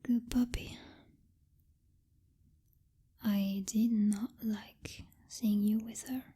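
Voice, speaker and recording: A woman playing a possessive, jealous vampire girlfriend who is commanding but secretly tender, in a soft, intimate ASMR whisper close to the microphone. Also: mid-range voice, little pitch movement, husky, full character voice. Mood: jealous, vulnerable. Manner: slow, teasing, domineering, with lingering pauses and hums.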